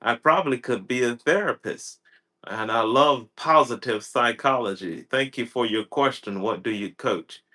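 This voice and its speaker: A man's voice: medium pitch, moderate pace, bright, forceful tone. A man in his thirties, a personal development coach, chatting on a livestream, repeating himself with many fillers and restarts. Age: 30s. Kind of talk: casual livestream chat.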